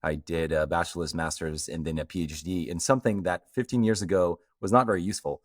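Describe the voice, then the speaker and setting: Middle-aged guest from Portugal, very deep voice, dry sound, relaxed storyteller. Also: male voice, fast, no echo. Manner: fluent storytelling, fillers like um. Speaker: guest, company co-founder. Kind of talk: conversational interview answers.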